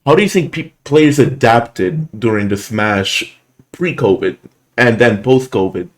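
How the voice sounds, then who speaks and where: Deep-voiced man from Puerto Rico. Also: animated. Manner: chatty, slightly halting English, playful. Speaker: show host, commentator. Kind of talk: casual interview.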